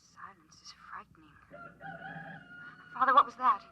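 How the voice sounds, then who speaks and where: Actress as stubborn young daughter, higher voice, pleading intensity, dull dry vintage radio sound. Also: female voice, unhurried, slight background noise, natural voice. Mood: fearful, awed. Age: young adult. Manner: earnest, pleading, dramatic. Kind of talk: 1950s radio drama acting.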